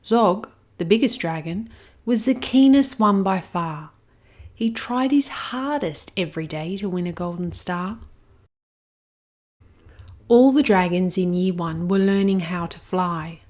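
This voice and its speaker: A woman reading a rhyming children's picture book aloud, expressive and even. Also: on the low side. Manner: slow, expressive rhymed reading. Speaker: storyteller.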